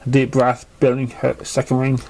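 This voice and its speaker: A man reads fan fiction aloud as an amateur narrator. His delivery is halting, with stumbles in the reading. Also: medium pitch, monotone, a little mellow, poor audio.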